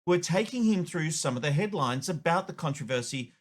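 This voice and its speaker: Male commentator, slightly high-pitched voice, emphatic and opinionated, presenting an editorial. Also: animated, fairly quick. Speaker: show host, media commentator.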